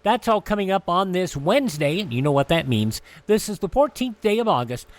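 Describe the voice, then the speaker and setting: A man hosting a radio program in a conversational, somewhat halting and rambling way. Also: high voice, sing-song. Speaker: radio show host.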